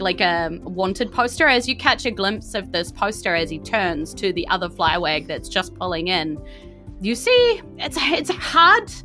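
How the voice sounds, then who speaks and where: A woman, animated and playful as game master and host of a tabletop podcast. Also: mid-range voice. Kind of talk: narrating a tabletop game and chatty podcast outro. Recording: music behind the voice.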